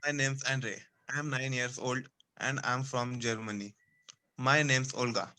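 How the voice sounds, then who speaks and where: A man's voice: mid-range voice, fairly even intonation, unhurried, sharp tone, clean tone. A student, a man, reading a short text aloud.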